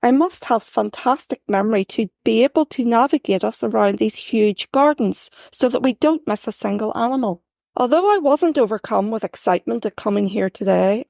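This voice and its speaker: A woman reading her own first-person story aloud, expressive and rising sharply for the quoted shouts. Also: on the high side, moderate pace, gravelly, dry recording, studio quality.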